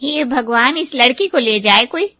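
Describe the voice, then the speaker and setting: A woman voicing a stern, nagging Indian mother in an animated moral story. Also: high-pitched, measured pace, no echo, heavily acted. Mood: exasperated. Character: strict, scolding Indian mother of a grown daughter.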